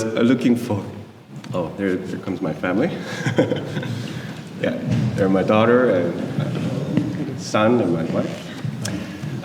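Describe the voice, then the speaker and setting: A man in his thirties from South Korea, whose first language is Korean, gives a presentation in a large hall. His voice sits on the low side and he stops often with fillers and restarts. He is picked up from a distance, so a long reverb trails behind his words. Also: a little hiss or hum. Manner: hesitant, many fillers and restarts, friendly with the audience. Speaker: guest lecturer. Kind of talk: presentation to an audience. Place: lecture hall. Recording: echoey hall from far off.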